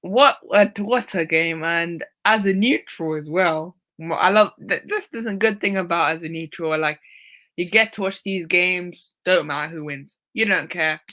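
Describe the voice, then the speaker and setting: A woman's voice: fairly low pitch, moderate pace, bright, forceful tone. A woman co-hosting a casual football chat, talking hesitantly and thinking aloud. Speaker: co-host, neutral fan.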